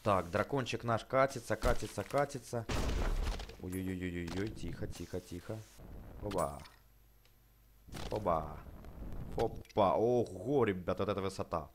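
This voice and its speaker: A man hosting a casual, chatty let's play for children, excitable, full of exclamations, repeated words and affectionate diminutives. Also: sits low, a little slow, pauses often, background noise. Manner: uses many affectionate diminutives. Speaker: gaming YouTuber host.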